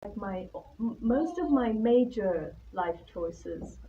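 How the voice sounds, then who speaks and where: A woman's voice: mid-range voice, expressive, slow pace. A woman from Taiwan gives an informal, personal talk in a nervous, hesitant, candid manner. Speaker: artist-in-residence guest speaker. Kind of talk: informal spoken presentation about own life and work. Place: live talk at an art venue. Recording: distant mic, dull sound, faint background noise.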